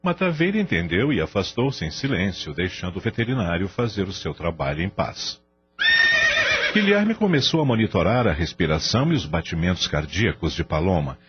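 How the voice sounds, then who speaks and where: A man with a Brazilian accent narrating a scripted radio drama in a solemn, expressive storytelling manner. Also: deep voice. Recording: dull radio recording with music bed.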